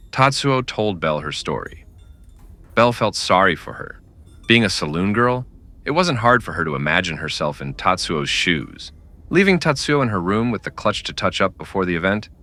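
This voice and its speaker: A man with a low, crisp-edged voice narrates an old-time radio western. His delivery is scripted storytelling with animated rises and falls.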